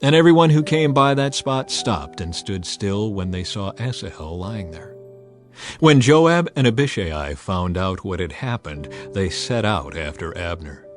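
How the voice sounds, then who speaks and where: A male narrator tells a scripture story over a music bed. His delivery is scripted, solemn and measured, in the manner of a dramatized audio Bible. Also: sits low, expressive.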